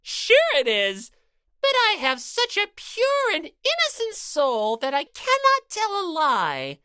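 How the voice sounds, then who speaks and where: A woman's voice: high voice, wildly swooping pitch, slow pace, bright, forceful tone, dry recording, studio quality, full character voice. A woman voicing a gleefully cruel, bossy male robot bear mascot, taunting and theatrical, for an audiobook. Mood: smug, mocking. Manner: theatrical, taunting, mock-polite then bellowing. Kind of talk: audiobook character voice.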